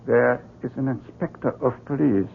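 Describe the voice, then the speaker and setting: A man's voice: medium pitch, normal speed, gravelly, lightly acted. A man playing a discreet, sober medical colleague in an old-time radio drama, hesitant and deferential. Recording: very noisy, phone-like old radio recording.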